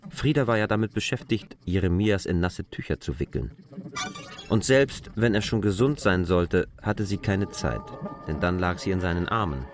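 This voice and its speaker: Deep male narrator over music. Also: normal speed. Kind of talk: scripted literary narration in a radio play.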